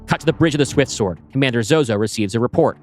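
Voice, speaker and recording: A man reading his screenplay aloud, with brisk scene-setting narration. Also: mid-range voice, darkish tone, soft background music. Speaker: writer pitching a screenplay.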